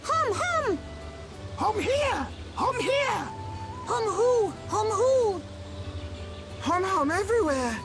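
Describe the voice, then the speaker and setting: Squeaky, singsong little creature. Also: female voice, big pitch swings, slow pace, long pauses, loud music behind the voice, heavily acted. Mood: excited, playful. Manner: broken baby-talk, singsong repetition. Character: small, cute, excitable forest creature villager. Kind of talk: video game voice acting.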